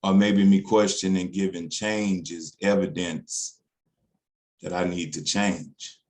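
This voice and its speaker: Slow, low-voiced man reciting poetry. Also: steady tone, darkish tone. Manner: deliberate, dramatic, with emphatic pauses. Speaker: poet. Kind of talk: spoken word poetry recital.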